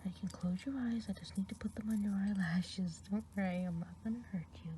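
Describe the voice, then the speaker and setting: A woman playing a kindly good witch healer in an ASMR roleplay, speaking in a soft, soothing whisper. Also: medium pitch, fairly quick, a little hiss or hum, close to an everyday voice.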